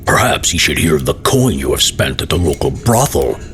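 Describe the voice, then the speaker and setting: A man voicing a gruff, gravelly tavern keeper, stern and unyielding, in a fantasy story. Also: rumbling low, sing-song, normal speed, fairly bright tone, soft background music, heavily acted. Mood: scornful.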